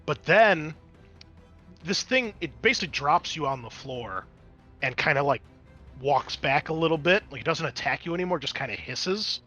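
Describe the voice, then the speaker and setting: A man's voice: fairly high pitch, animated, moderate pace, bright, forceful tone, husky, soft background music. A man running a tabletop roleplaying game as game master, switching between conversational rules talk and dramatic scene description.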